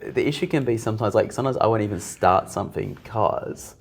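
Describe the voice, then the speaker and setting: A man co-hosting a casual podcast, croaky from a recent illness, laid-back with dry teasing humor. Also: fairly low pitch, fairly quick. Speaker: podcast co-host. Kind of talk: casual chat.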